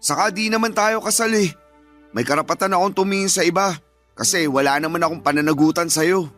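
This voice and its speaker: Shameless lazy pinoy boyfriend, whiny n blaming, radio drama, bit of music under. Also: male voice, high-pitched, brisk, fairly bright tone. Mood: callous, defensive. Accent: Filipino. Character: shameless, lazy cheating live-in boyfriend and father. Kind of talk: Filipino radio drama acting.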